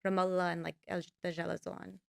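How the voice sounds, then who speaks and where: A woman's voice: fairly low pitch, little pitch movement, fast, gravelly, no room sound, studio quality. A young woman, a filmmaker guest, talking casually in a podcast interview and rambling with many fillers. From United States. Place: podcast studio.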